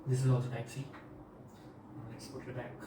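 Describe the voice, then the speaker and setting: A man with an Indian accent talks through a product review in a flat, even tone. He is informal and plain-spoken, recorded at a distance in an echoey room with noise behind him. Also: medium pitch, brisk. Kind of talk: casual product demonstration and review.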